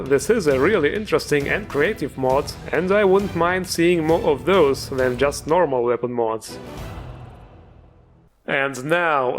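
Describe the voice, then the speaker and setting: A man hosting a video game mod show, giving informal, enthusiastic commentary with a joking streak. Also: fairly high pitch. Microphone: voice-over recorded over gameplay music.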